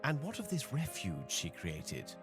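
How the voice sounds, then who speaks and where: An actor playing a composed, rational detective who speaks in a measured, formal, analytical way in a video game. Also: male voice, mid-range voice, wildly swooping pitch, over music. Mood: calm, rational. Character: composed, rational male detective, skeptical of superstition. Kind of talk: video game cutscene voice acting.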